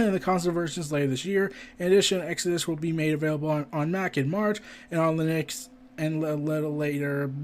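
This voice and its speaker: Male podcast host, high-pitched voice, casual news commentary with stumbly reading and chatty asides, some background noise. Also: darkish tone.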